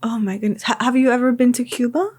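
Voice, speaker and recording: A woman of Cuban family hosting an interview podcast, asking questions and reacting warmly to her guest. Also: fairly high pitch, steady tone, quick pace. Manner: warm, encouraging, frequent short reactions. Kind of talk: casual interview chat.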